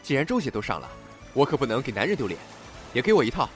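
An actor playing a timid, excitable young man with a fretful, dramatic manner in a Chinese audio drama. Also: mid-range voice, very fast, faint music behind, close to an everyday voice. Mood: nervous, bantering. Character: timid, excitable young man, proud yet easily scared.

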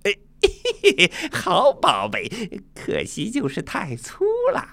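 A man voicing a brash, excitable, mischievous monkey king in a storybook tale, with giggles and exclamations. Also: high voice, a little slow, creaky, dry recording, pitched above the performer's own voice, exaggerated voice. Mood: gleeful.

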